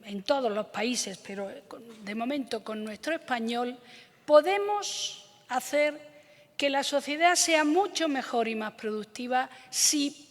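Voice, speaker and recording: A woman from Córdoba, Spain, with an Andalusian accent, giving a formal conference address as a government official, earnest and hesitating with fillers. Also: high voice. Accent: Andalusian Spanish. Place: large theater stage. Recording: long hall reverb from a theater.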